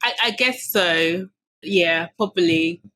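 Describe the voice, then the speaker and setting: A woman's voice: fairly low pitch, slow, fairly bright tone, no echo. A woman from London hosting a podcast, chatting casually and laughing often between her sentences. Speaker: podcast host. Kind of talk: casual conversation.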